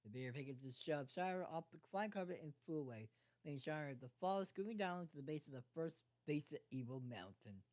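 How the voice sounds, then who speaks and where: Amateur narrator reading fanfic out loud, trips on words and repeats bits, muffled lo-fi mic, mid pitch. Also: lively intonation. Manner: halting, stumbles over words and repeats phrases. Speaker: fan fiction reader. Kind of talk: amateur read-aloud narration of a fan fiction story. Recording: dull lo-fi microphone sound.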